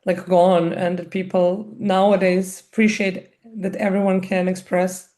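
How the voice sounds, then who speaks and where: A woman's voice: on the low side, flat delivery, measured pace, mellow. A woman hosting an interview, asking questions and encouraging her guest with frequent affirmations. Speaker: podcast host. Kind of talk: casual interview conversation.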